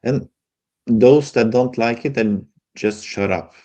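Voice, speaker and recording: A man's voice: mid-range voice, slow pace, warm tone. A middle-aged man with a Norwegian accent, speaking hesitantly and candidly as an interview guest. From Norway. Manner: hesitant, many fillers, candid. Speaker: guest, folk healer. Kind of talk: casual interview conversation.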